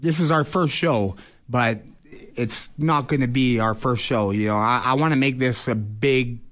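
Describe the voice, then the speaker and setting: Male, early forties, mid-pitched, colloquial fighter-promoter chatting as a radio guest. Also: a little slow, a bit bright. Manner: colloquial, frequent "you know". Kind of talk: casual radio interview.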